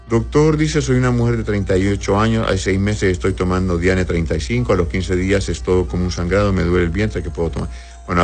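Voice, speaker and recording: A man with a fairly low voice runs a medical call-in radio show. He reads listener messages and answers bluntly and briskly. Faint music plays under the broadcast. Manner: blunt, brisk advice with religious blessings.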